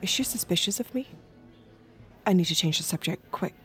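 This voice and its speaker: An actress playing a watchful, manipulative escort in an intimate, measured audio drama. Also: female voice, lowish voice, expressive, a bit bright, soft background music. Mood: calculating. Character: cool, watchful, manipulative woman escort narrating her thoughts. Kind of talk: intimate scripted audio drama narration and dialogue.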